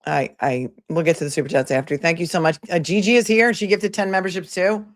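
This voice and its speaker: A woman's voice: on the low side, steady tone, fast. A woman hosting a live stream, commenting on a court hearing with sarcastic, indignant remarks and thanking viewers. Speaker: livestream host and commentator.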